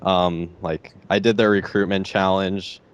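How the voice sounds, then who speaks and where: A teenage boy with a deep voice, answering interview questions hesitantly and filling pauses with "like" and "I don't know.". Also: level tone, clear, resonant tone, highs cut off. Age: teens. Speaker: interviewee, gamer.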